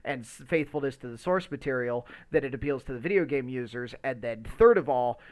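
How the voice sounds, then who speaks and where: A man's voice: mid-range voice, animated, a little fast. A man co-hosting a podcast in casual discussion, rambling and often stammering over repeated words as he works through his thoughts. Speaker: podcast co-host. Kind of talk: casual movie discussion chat.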